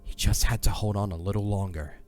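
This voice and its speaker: A man narrating a fantasy audio drama in a solemn, measured, dramatic storytelling style. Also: fairly low pitch, steady tone, a little mellow, faint music behind.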